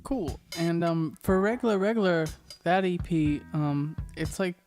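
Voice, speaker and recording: A man's voice: on the high side, unhurried, a little mellow, faint music behind. A man hosting a podcast, asking questions in a casual, conversational interview style.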